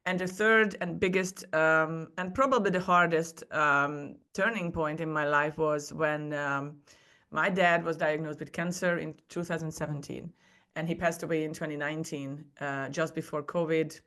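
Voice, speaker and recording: A woman's voice: sits low, steady tone, normal speed, fairly bright tone. Woman podcast guest, non-native English speaker. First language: not English. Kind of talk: personal storytelling in a conversation.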